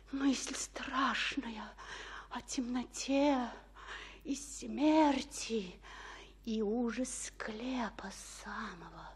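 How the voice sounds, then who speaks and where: A young tragic heroine of radio drama, lovestruck and full of fear. Her voice is fairly high and husky with breath. She speaks slowly and theatrically, in anguish, often close to a whisper. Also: a little mellow, full character voice. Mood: whispered horror. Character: frightened, lovestruck young noblewoman in a tragedy.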